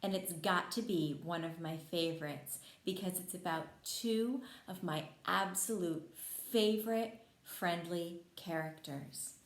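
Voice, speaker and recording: A woman reading a storybook aloud for young children, with expressive, simple child-directed talk. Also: fairly low pitch, unhurried, hardly any pauses. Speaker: pre-K read-aloud host. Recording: echoey room.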